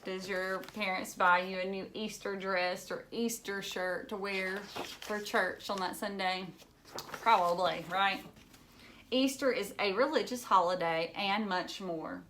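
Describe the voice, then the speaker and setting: A woman's voice: medium pitch, fairly even intonation, unhurried, fairly bright tone. A woman reading a children's book aloud like a teacher, explaining things simply and adding chatty asides addressed to young listeners. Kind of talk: read-aloud storybook narration with chatty asides.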